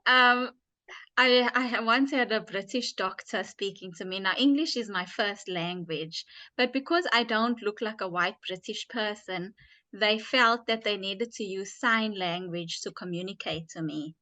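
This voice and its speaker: A woman doctor and author as a guest, explaining her views in a measured, thoughtful way. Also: mid-range voice, pushed and bright, no room sound. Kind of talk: explanatory interview answers.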